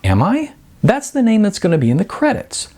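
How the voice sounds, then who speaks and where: A man's voice: sits high, wildly swooping pitch, brisk, a little mellow, voice pushed up, exaggerated voice. A man putting on a sarcastic young actress. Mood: exasperated. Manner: exasperated, sarcastic. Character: young adult actress, wry and hurt.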